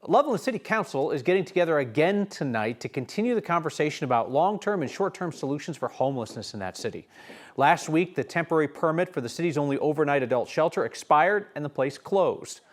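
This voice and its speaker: A man's voice: a little high, lively intonation, fast. An American man anchoring a newscast with scripted news narration.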